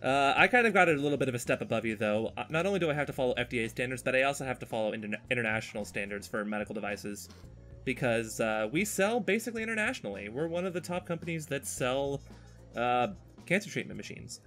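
A man talks casually as a podcast host, his pitch moving around a lot and his tone bright and forward. He is talkative and jokingly exasperated. Soft music plays underneath. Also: lively intonation, quick pace. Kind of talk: casual podcast chat while drawing.

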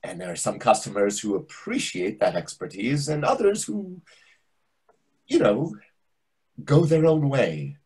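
A man playing a husky, pompous waiter. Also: mid-range voice, very animated, a little slow, long pauses, darkish tone. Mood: wounded, snide. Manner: theatrical, condescending, lecturing. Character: pompous, needling, over-familiar Greek restaurant waiter. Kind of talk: readers theatre comedy acting. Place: indoors on a video call. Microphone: video call microphone.

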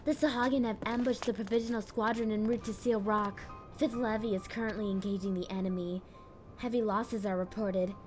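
A woman's voice: medium pitch, fairly even intonation, fairly quick, soft background music, close to an everyday voice. A woman playing a dutiful naval officer giving clipped, urgent military reports in a fantasy drama. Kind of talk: fan-made fantasy game drama acting.

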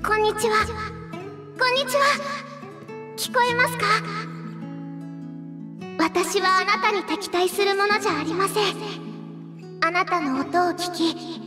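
A woman voicing a gentle, shy, childlike bird-like girl in a video game, speaking earnestly and politely. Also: very high-pitched, unhurried, long pauses, big-room sound, loud music behind the voice. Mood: gentle pleading. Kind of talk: Japanese video game voice acting.

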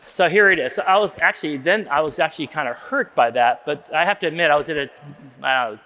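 A man's voice: on the high side, animated, fast, pushed and bright. A man in his sixties giving an informal, self-deprecating, rambling conference talk from an auditorium stage. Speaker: keynote lecturer. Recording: long hall reverb.

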